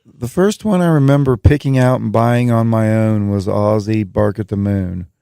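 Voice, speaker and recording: A man's voice: lowish voice, moderate pace, vocal fry, dark tone, clear, resonant tone, dry recording. An American man of about forty, a comedian guest on a podcast, talking casually with a dry manner. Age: around 40. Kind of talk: casual chat. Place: small studio. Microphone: close studio mic.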